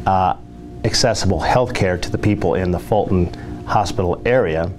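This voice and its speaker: A man speaking as a hospital representative, delivering a prepared, persuasive public address in a measured, formal, explanatory manner. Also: low voice, darkish tone, slight background noise.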